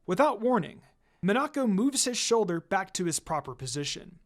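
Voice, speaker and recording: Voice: medium pitch, big pitch swings, moderate pace. A narrator reading a fan fiction story aloud as scripted narration.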